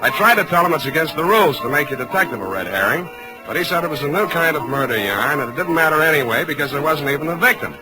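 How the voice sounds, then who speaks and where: Hard-boiled American private eye, male actor, dry and wry, vintage radio drama with clear musical backing. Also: on the high side, expressive, quick pace, loud music behind the voice, close to an everyday voice, rough recording. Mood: wry narration. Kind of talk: 1940s radio noir acting, first-person narration.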